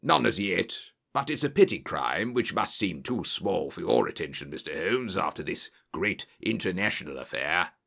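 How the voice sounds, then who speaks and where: A man voicing a bluff, genial old-soldier colonel, an English country gentleman, in an audiobook story. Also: low voice, big pitch swings, normal speed, gravelly, hardly any pauses, breathy edge, pitched below the performer's own voice, heavily acted. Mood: conversational, bluff.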